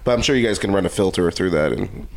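A man's voice: deep voice, expressive, fast talker, vocal fry. Male podcast guest with a stuffy cold, nasal, dry and blunt, faint background noise. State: congested, under the weather. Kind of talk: casual chat.

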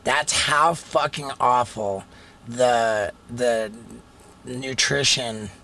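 A man's voice: medium pitch, extremely slow, bright, forceful tone. A man in his forties hosting a podcast, talking casually in a blunt, profane, emphatic way. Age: 40s. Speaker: podcast host. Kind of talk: casual chat.

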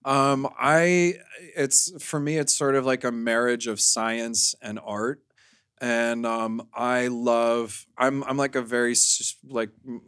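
A man speaking as a podcast guest in casual, anecdotal chat, with an emphatic, animated storytelling manner. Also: medium pitch, clear, resonant tone, no room sound, clean studio sound.

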